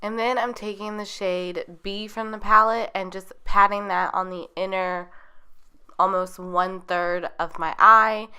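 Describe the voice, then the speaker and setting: A woman's voice: mid-range voice, steady tone, measured pace, pushed and bright, clear, resonant tone. A young woman narrating a makeup tutorial in a chatty, rambling way, her voice a little nasal as she gets over a cold. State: getting over being sick. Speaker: beauty vlogger.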